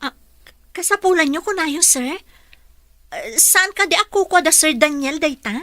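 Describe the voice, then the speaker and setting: An eager young office hopeful in a radio drama, with a high, bright voice. She is polite and respectful, speaks with a Filipino accent and sounds close and dry. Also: normal speed, no room sound. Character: eager, polite young woman graduate seeking office work. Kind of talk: Ilocano radio drama acting.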